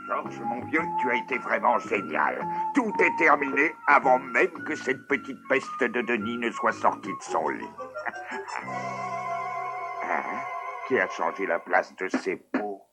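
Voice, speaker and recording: An actor voicing a fussy, easily exasperated older neighbor in a French cartoon dub, groaning and pleading. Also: male voice, mid-range voice, very animated, measured pace. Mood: smug. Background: cartoon music score.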